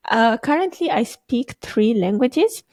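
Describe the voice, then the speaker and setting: A woman's voice: fairly high pitch, animated, measured pace, a little mellow, dry recording, studio quality. A young woman from Romania, a native Romanian speaker, chatting as a guest on a video-call interview, laughing often and hesitating with fillers. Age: young adult. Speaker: guest, quantum computing researcher. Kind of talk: casual interview conversation. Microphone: video call microphone.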